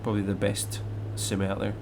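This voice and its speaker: A man's voice: fairly low pitch, monotone, brisk, vocal fry, noisy background. A young Scottish man with a slight English mix to his accent, chatting casually on a live stream and reading out viewer questions, rambling with lots of fillers and restarts. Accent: Scottish, mixed with English. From Scotland. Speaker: flight sim live streamer.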